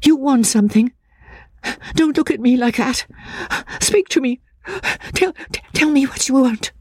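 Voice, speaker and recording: Woman acting a high, breathy, frightened young woman. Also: unhurried, darkish tone. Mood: anxious, pleading. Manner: pleading, faltering, repeating words. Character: frightened, fragile, agitated young woman fugitive. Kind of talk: dramatic audiobook character reading.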